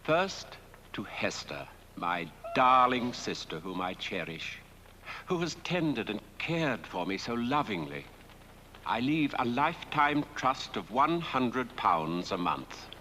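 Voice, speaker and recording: An actor playing a suave, sardonic lord who delivers a film monologue with formal, mock-affectionate deliberation. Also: male voice, mid-range voice, pushed and bright, slight background noise. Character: sardonic, suave dead lord reading his own will.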